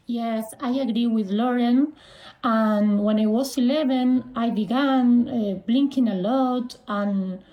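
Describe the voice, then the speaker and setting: A woman from Argentina with an Argentine Spanish accent gives a personal account as an interview guest, phrasing things carefully and haltingly. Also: on the high side, highs cut off. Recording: roomy, echoing sound.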